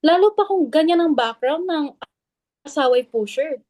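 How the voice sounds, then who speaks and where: A woman's voice: sits high, expressive, normal speed, a little mellow. A woman acting a blunt, suspicious, confrontational club member in a dramatic reading, insistent and accusing. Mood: insistent, wary.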